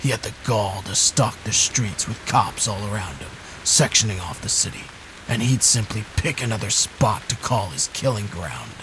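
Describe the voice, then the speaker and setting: An actor playing a bitter, world-weary middle-aged police detective in a game cutscene, hard-boiled and foul-mouthed with a gravelly voice. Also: male voice, lowish voice, lively intonation, moderate pace, noisy background. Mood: grim, incredulous. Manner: hard-boiled, sardonic, cursing. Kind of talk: noir video game cutscene acting. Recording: loud music under the voice. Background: loud music.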